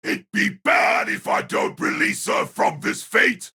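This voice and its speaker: A man voicing a brash, intense young anime fighter in an audio drama, with a strained, raspy voice and drawn-out dramatic delivery. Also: pushed and bright, no echo, clean studio sound. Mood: agitated.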